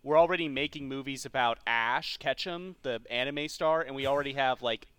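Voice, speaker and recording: Clear, dry-sounding man chatting about films. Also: medium pitch, normal speed, a bit bright, no echo. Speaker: podcast co-host. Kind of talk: casual film discussion.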